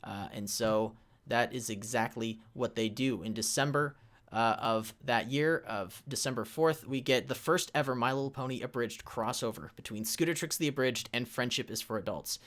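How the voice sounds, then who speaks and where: A man's voice: medium pitch, normal speed, a bit bright. A man presenting a convention panel in an informal way, recounting a history with hesitant starts and emphatic bursts.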